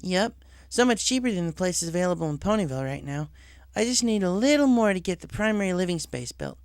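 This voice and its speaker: A man's voice: high-pitched, big pitch swings, brisk, clear, resonant tone, no echo, clean studio sound, voice pushed up. A man voicing a confident, casual young female pegasus pony in a story reading. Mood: upbeat, earnest.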